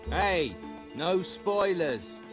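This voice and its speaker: An actor playing a sarcastic, exasperated, nitpicking fantasy game player in a video game cutscene. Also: male voice, high voice, very animated, very slow, heavily acted. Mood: impatient. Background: music.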